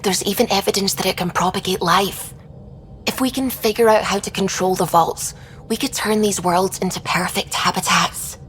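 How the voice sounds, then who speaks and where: A woman playing a thoughtful, devout scientist on a space expedition, explaining things in a measured, reflective way in video game dialogue. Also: medium pitch, steady tone, a bit bright, slight background noise, natural voice. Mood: thoughtful, curious. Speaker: science officer character.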